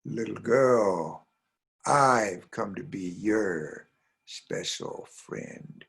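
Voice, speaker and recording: A man voicing a sinister devil from a whirlwind who poses as a friend, coaxing in drawn-out words in a folk tale. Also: fairly low pitch, gravelly, a bit bright, husky, full character voice. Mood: luring.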